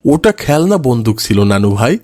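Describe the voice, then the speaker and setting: A man's voice: medium pitch, very animated, moderate pace, mellow, no echo, clean studio sound, lightly acted. A man voicing a kindly, good-humoured old grandfather in a cartoon moral story. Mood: explaining, good-humoured.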